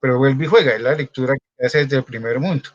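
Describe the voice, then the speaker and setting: A man's voice: medium pitch, quick pace. A man asking a question in an academic seminar, speaking earnestly and searching for words, over a video call. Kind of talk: academic discussion. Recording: dull, lo-fi call audio.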